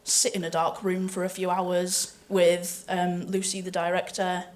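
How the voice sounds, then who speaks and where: A woman's voice: fairly low pitch, monotone, a little slow. A woman panelist chatting informally and casually at a live event about her work. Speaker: voice actor and panelist.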